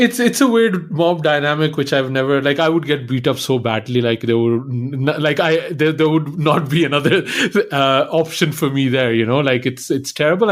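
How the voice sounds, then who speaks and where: Hesitant man with a fairly high voice. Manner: hesitant, restarts sentences, uses 'like' a lot. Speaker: podcast host. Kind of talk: casual film discussion.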